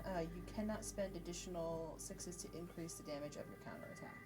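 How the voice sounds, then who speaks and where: A woman with a fairly low voice works as game master, casually explaining rules and narrating the action. Clear background music plays behind her. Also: huge pitch swings, brisk. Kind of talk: running a tabletop roleplaying game, casual rulings and narration.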